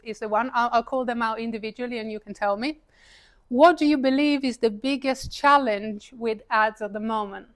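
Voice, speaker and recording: A woman with an Italian accent, in her thirties, giving a chatty, self-deprecating live talk to an audience, with frequent fillers and laughs. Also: a little high. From Italy. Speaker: paid advertising speaker. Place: event room with an audience, seated.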